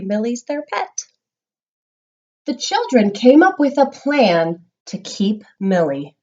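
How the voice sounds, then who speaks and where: A woman teacher giving a read-aloud lesson to young children, explaining simply and reading with expressive emphasis. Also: mid-range voice, highs cut off. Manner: instructional, simple explanations with check-ins. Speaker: primary school reading teacher.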